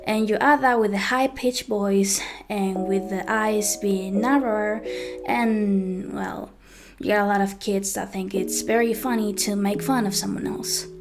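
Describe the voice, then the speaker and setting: Young woman, high-pitched voice, hesitant storytime monologue, clear guitar music behind. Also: fairly even intonation, a little slow. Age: young adult. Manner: hesitant, with frequent ums and self-corrections. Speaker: vlogger.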